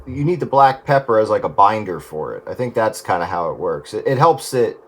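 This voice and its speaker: A man's voice: on the low side, sing-song, a little fast. A man chatting casually over the radio, rambling and correcting himself as he goes.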